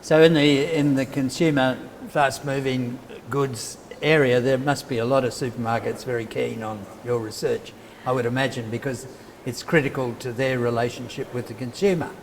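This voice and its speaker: A man's voice: mid-range voice, fairly even intonation, moderate pace, gravelly. Interviewer man in a reverberant room. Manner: asks long leading questions. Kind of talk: interview questions. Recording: reverberant with faint noise.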